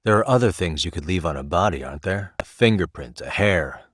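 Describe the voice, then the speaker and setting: A man's voice: sits low, lively intonation, a little fast, fairly bright tone, breathy edge, no room sound, full character voice. A man with an American accent voicing an easygoing, principled detective in a video game, talking in a dry, wry way as he reasons things through. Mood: probing, measured. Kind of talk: video game voice acting, conversational dialogue.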